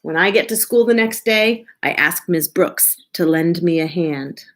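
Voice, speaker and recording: A woman reading a picture book aloud to children in an expressive, deliberate way, as a children's librarian. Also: on the low side. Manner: animated, drawn-out, deliberate delivery.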